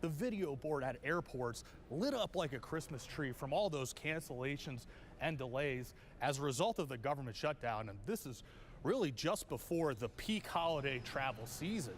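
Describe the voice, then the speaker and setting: A man's voice: medium pitch, expressive, moderate pace. An American man presenting a scripted television news report, recorded with background noise.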